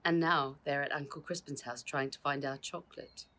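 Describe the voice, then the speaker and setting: A woman's voice: sits low, fairly even intonation, normal speed, fairly bright tone. A woman reading the parts of plucky, earnest children in a storybook adventure, speaking with urgency. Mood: indignant.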